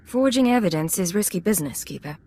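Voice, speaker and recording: A woman's voice: medium pitch, expressive, quick pace, a little mellow, lightly acted. An actress playing a confident young female imperial agent in a video game, cool and controlled. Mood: wary. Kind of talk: video game character dialogue.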